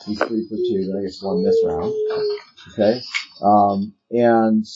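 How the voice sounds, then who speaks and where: A man's voice: on the low side, huge pitch swings, measured pace, lo-fi sound, very noisy. A man running a tabletop role-playing game, narrating the action and explaining rules conversationally. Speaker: game master.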